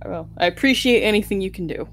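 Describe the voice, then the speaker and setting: A woman's voice: medium pitch, fast, faint music behind. A woman chatting casually as a player in a tabletop roleplaying game. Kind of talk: casual chat in play.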